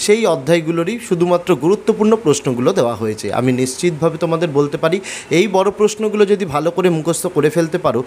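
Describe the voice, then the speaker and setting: A man's voice: a little high, lively intonation, racing, darkish tone, a little hiss or hum. A man with a West Bengal accent explaining things to students as an online teacher, in an instructional, emphatic and repetitive way. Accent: Bengali from West Bengal, India. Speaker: online class teacher and channel host. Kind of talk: explanatory tutorial narration addressed to students.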